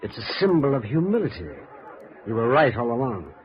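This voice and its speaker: Man acting a humbled, lively DA's office man. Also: mid-range voice, a little fast, lightly acted. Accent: American. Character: humbled, eager male district attorney's office man. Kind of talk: 1950s radio drama acting. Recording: dull vintage radio recording.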